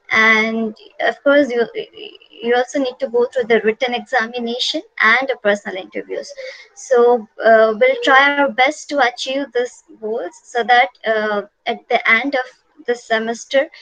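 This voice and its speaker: A woman's voice: on the high side, a bit bright, no room sound, muffled recording. A woman giving an online class lecture from slides, patient and repetitive, with frequent "uh" fillers.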